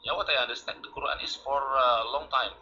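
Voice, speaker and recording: A man takes part in an online debate as a caller. His voice is very bright and tense. He speaks hesitantly, stalling on fillers and repeating himself as he searches for words. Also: mid-range voice, fairly even intonation, fast, highs cut off, a little hiss or hum. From Indonesia.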